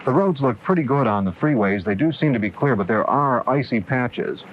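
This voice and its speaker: A man's voice: mid-range voice, animated, moderate pace. An American man anchoring local radio news, reading scripted news and weather, on a dull, hissy old tape.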